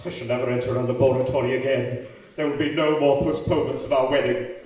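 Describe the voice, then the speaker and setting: A man's voice: mid-range voice, level tone, fast talker, darkish tone, lightly acted. Man acting a tormented young scientist, echoey hall. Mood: tender, earnest. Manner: theatrical, impassioned, declamatory. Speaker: actor in a live radio drama. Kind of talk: old-time radio horror melodrama acting. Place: live stage in a community hall. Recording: echoey hall, distant mic, faint noise.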